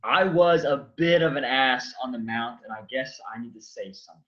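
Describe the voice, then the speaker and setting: An actor playing an awkward, sheepish Lazarus in a staged comedy reading, hesitant and dry. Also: male voice, medium pitch, normal speed, fairly bright tone, muffled recording, natural voice. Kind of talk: staged reading of a comic play.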